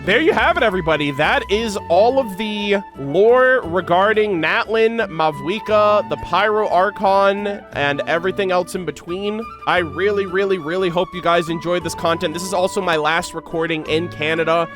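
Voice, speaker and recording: A man's voice: high voice, moderate pace, hardly any pauses. An American man giving casual, informal reaction commentary as he thinks aloud about game lore and reads bits of it out. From United States. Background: music.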